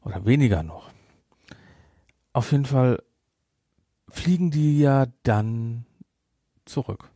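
A man co-hosting a podcast in casual chat, retelling and reviewing in a halting way with repeated words. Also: mid-range voice, dark tone, no room sound, studio quality. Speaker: podcast host.

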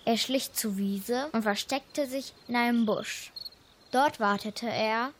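Woman narrating a fable, fairly high voice. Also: takes it slowly. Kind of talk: scripted storybook narration.

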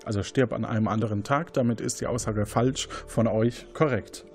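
A man's voice: lowish voice, moderate pace, faint music behind. A man hosting an audio quiz game, presenting statements and questions to contestants. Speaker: quiz show host.

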